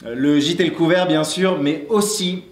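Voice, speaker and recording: A man's voice: on the high side, unhurried, lightly acted. Man playing a chatty, echoey refuge guest. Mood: explaining. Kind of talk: conversational stage acting. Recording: echoey stage, faint noise.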